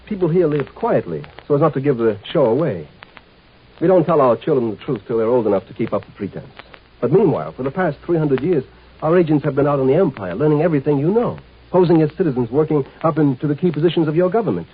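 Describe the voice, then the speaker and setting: Smug calm secret agent in a 50s sci-fi radio play, slightly husky, thin old recording. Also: male voice, a little high, quick pace. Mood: smug, explaining. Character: shrewd, superior native agent posing as imperial marshal. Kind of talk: 1950s radio drama acting. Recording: muffled, tinny 1950s radio recording.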